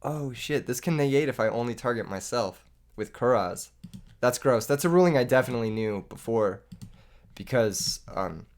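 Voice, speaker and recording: A young man narrates his card game match while recovering from an illness that has taken his voice. He talks casually, making fun of himself and laughing between plays. His pitch sits in a middling range. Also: animated, normal speed. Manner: joking, self-mocking, laughs often. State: lost voice from illness. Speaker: gaming YouTuber. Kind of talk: live gameplay commentary.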